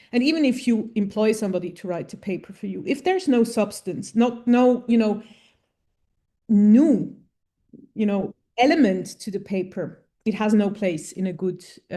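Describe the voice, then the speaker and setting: Mellow-voiced woman, frank journal editor. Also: medium pitch. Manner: frank, plain-spoken. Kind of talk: conversational interview answers.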